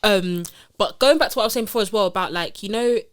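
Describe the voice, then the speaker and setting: A woman from co-hosting a casual podcast chat, animated and enthusiastic, laughing easily. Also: mid-range voice, fast. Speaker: podcast co-host.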